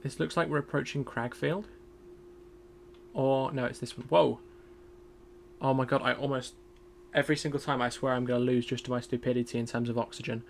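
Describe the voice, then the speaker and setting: A man streaming a game in casual commentary. He is chatty and self-deprecating, thinks aloud, and has a loud voice. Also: mid-range voice, fairly even intonation, brisk, vocal fry, a little hiss or hum.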